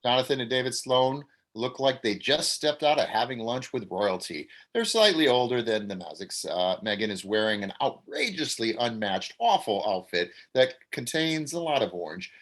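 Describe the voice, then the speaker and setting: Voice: mid-range voice, very animated, normal speed, few pauses, fairly bright tone. A performer reading the stage directions aloud at a table read in a plain, matter-of-fact way. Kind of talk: table read of an amateur play.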